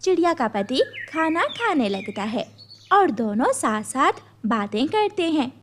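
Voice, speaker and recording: A woman narrating a children's story in an expressive, scripted storytelling style. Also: high voice, a little slow, slight background noise.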